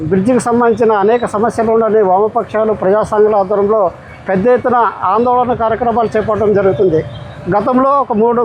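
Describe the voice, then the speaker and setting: Male party leader, extremely high pitch, rapid delivery, formal and repetitive. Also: dull audio, a little hiss or hum. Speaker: communist party town leader. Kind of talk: protest speech to press.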